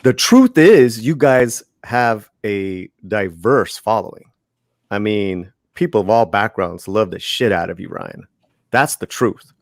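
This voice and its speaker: A man speaking casually on a panel, emphatic and halting, often repeating his words. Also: fairly low pitch, lively intonation, no echo, clean studio sound.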